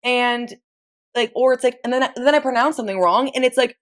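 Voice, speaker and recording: A woman's voice: a little high, fairly quick. A woman in her twenties from Ontario, a podcast guest chatting casually, frank and profane, often restarting her sentences. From Ontario, Canada. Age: 20s. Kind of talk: casual chat.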